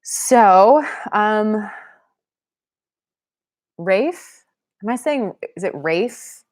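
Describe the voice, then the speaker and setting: A woman's voice: mid-range voice, lively intonation, extremely slow, a little mellow. A young woman from Pennsylvania hosting a live-stream Q&A, chatting casually and reading viewer comments aloud. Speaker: channel host.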